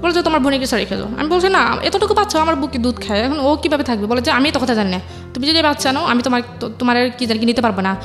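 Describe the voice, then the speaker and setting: A woman's voice: high-pitched, lively intonation, very fast, over music. A young woman with a Bangladeshi accent telling her life story as an interview guest, in colloquial speech full of repetition and quoted dialogue. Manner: colloquial dialect forms, repeats herself, quotes family members. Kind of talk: personal life story told conversationally.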